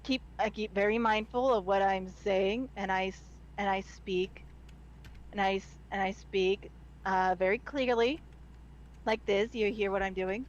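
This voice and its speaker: A woman with a nasal Midwestern American accent, chatting excitedly as a guest on a livestream and swearing freely. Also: mid-range voice, measured pace, highs cut off. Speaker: guest voice actor. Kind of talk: casual livestream chat.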